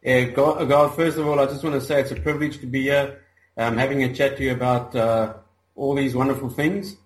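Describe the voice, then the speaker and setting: A man's voice: mid-range voice, steady tone, a little fast. South African man in an echoey room. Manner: hesitant, many fillers and restarts. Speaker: guest, rhino conservationist. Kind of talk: casual interview chat.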